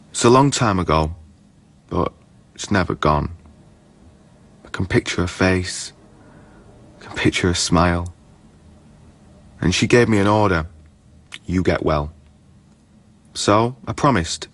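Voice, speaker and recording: A man playing a remorseful, tender former junkie, telling his story in measured rhyme in a poetic radio drama. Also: deep voice, fairly bright tone, exaggerated voice. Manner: measured, rhyming, confessional storytelling.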